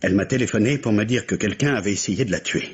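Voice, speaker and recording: A man's voice: fairly low pitch, quick pace, breathy edge. An actor playing an anxious, devoted husband, a businessman, urgent and hesitant, in the manner of old French radio mystery drama. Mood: distraught, urgent. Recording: muffled, indistinct old radio recording.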